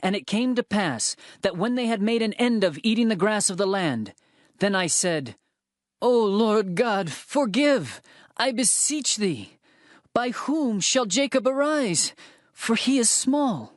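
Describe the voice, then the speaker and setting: A man's voice: high voice, animated, slow, no room sound, clean studio sound. An actor playing an earnest, bold herdsman prophet, declaiming in a dramatized scripture narration. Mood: pleading. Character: earnest male prophet Amos, herdsman turned bold messenger.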